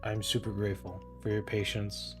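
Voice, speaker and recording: A young man talking casually in a flat, monotone way, rambling with many restarts and fillers as he breaks down a versus battle. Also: fairly low pitch, normal speed. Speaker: YouTube versus-battle analyst. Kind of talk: casual spoken analysis over music. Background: lo-fi hip hop music.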